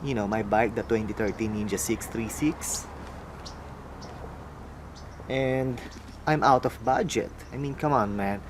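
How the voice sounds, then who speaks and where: Slow, mumbling Filipino man. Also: lowish voice, fairly even intonation, noisy background. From Philippines. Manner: slow, mumbling, musing aloud. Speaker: motorcycle vlogger. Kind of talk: casual product unboxing vlog.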